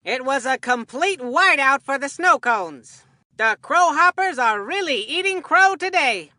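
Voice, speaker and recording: Corny, food-mad kid sports commentator for a video game; unhurried, hammy announcer delivery, very dry sound. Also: high-pitched, expressive, pushed and bright, no room sound, heavily acted. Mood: playful announcing.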